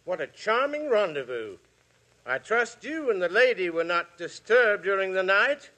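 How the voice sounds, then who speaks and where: A man's voice: high voice, sing-song, moderate pace, sharp tone, full character voice, low-quality recording. An actor playing a scheming male blackmailer, silky and insinuating, in 1950s radio drama style. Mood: mocking. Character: oily scheming male blackmailer, middle-aged. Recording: old radio broadcast, faint hiss.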